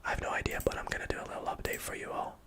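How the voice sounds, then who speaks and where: Whispering Scottish ASMR streamer. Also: very fast. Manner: soft whisper, reading chat aloud with many fillers. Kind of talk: whispered livestream Q&A.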